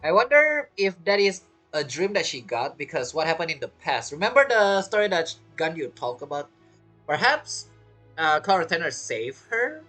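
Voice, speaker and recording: A woman streamer reacting casually to a game. The voice is low but very animated, chatty and playful, with faint music behind. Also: moderate pace. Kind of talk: casual reaction commentary over gameplay.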